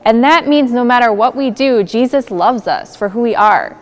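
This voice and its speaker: A woman's voice: fairly high pitch, animated, moderate pace, natural voice. A woman playing a kind, level-headed young agent in a children's skit, with an earnest, explanatory manner. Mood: gently instructive. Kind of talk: children's church skit acting.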